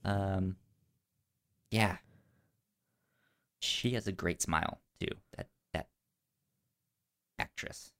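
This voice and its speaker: A man co-hosting a film podcast in casual conversation, halting and stuttering as he repeats sounds and words. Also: low-pitched, fairly bright tone.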